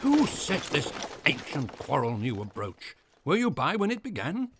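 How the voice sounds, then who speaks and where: A man's voice: on the high side, huge pitch swings, a little slow, background noise. A man playing a grave, troubled noble father in a Shakespearean audio drama.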